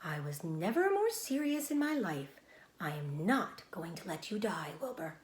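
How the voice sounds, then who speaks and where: A woman reading a children's storybook, voicing a motherly female spider in a measured, comforting and firm manner. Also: fairly low pitch, sing-song, reverberant. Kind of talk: children's storybook read-aloud.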